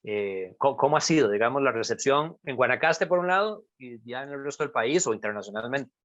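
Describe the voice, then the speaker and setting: A man's voice: medium pitch, expressive, brisk, fairly bright tone, no room sound. A man hosting an interview over a live video stream, asking questions eagerly with frequent fillers. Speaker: interviewer host. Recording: dull, dry video-call audio.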